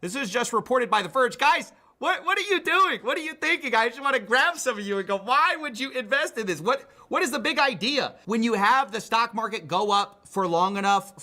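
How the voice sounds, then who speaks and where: Male video commentator, notably high and bright voice, emphatic, incredulous, conversational. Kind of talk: conversational finance news commentary.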